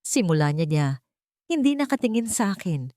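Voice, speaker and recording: A woman narrating a scripted drama in the first person, with deliberate, dramatic short phrases. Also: mid-range voice, sing-song, mellow, no room sound, studio quality. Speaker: story narrator.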